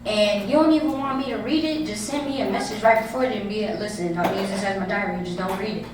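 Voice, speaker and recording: A woman's voice: medium pitch, fast talker, crowd noise. A woman of thirty, a guest artist giving earnest advice in a casual chat. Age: 30s. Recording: echoey room, far from mic, noisy.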